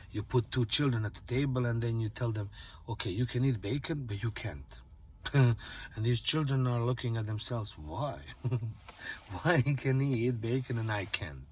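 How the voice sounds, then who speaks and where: A man from Bosnia talking in a casual, reflective vlog, musing softly and often dropping to a near-whisper, from a parked car. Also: fairly low pitch, a little hiss or hum. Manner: musing, soft-spoken, drifts into near-whispers. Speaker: vlogger.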